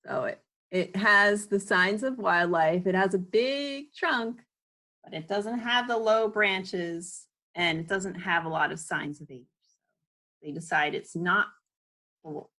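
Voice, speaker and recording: A woman reading a children's book aloud at home in an unhurried way, explaining things in casual asides as she goes. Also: mid-range voice, pushed and bright.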